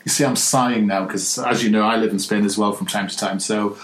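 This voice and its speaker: A man hosting an interview podcast, chatting warmly with frequent restarts and an upbeat manner. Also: fairly low pitch, steady tone, a little fast, reverberant. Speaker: podcast host. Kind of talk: casual interview chat.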